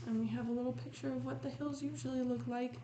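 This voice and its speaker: A woman reading a picture book aloud in a measured, descriptive storytelling manner, pausing to comment on the illustrations. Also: sits high, fairly even intonation. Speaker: read-aloud host. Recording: roomy echo with faint noise.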